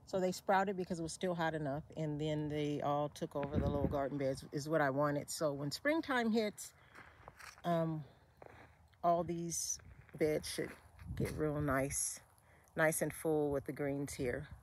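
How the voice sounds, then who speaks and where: A woman giving a relaxed, unhurried walk-through of her desert garden, with fillers and self-corrections along the way. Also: sits low, a little hiss or hum. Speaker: homesteader giving a garden tour. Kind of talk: casual walk-through narration.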